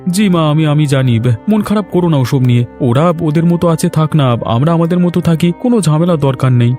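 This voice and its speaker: A man's voice: on the high side, brisk, warm tone, lightly acted. A man voicing a caring, determined young village son in a cartoon story, earnest and reassuring. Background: music.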